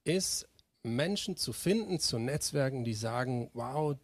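A man's voice: medium pitch, lively intonation, measured pace. Earnest man answering interview questions. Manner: earnest, hesitant fillers. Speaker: missionary guest.

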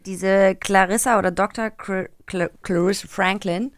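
A woman's voice: medium pitch, fairly even intonation, a little slow, dry recording, clean studio sound. A woman co-hosting an interview in a casual, chatty style. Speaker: interview co-host. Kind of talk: casual chat.

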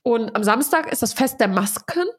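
A woman's voice: fairly high pitch, normal speed, husky. A young woman co-hosting a podcast with a cold, chatting casually in a blunt, slangy way. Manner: blunt, slangy, animated storyteller. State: has a cold, stuffy. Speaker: podcast co-host. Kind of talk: casual chat.

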